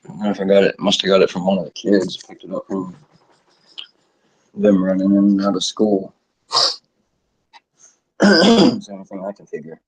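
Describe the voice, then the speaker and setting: A middle-aged man talks in a loose, rambling way to his viewers. His low voice is husky and strained from a sore throat. He keeps stopping to clear his throat. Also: animated, normal speed, pauses often, rough recording. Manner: frequent throat clearing, offhand swearing. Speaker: cannabis vlogger. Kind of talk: casual rambling chat to viewers.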